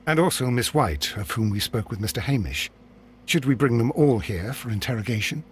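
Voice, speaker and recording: A man plays a loyal English gentleman companion in a video game. His mid-pitched voice is very lively in its rise and fall, with a dry, gently teasing manner. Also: brisk, a little hiss or hum, close to an everyday voice. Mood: earnest. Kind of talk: video game cutscene acting.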